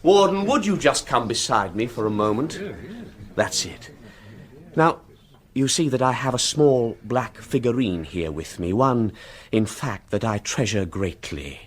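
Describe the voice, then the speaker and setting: A man playing a genial, formal British archaeologist don giving a measured, ceremonious speech in a radio drama. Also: medium pitch, lively intonation, lightly acted. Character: male archaeologist don, genial on surface, ceremonious, defeated candidate.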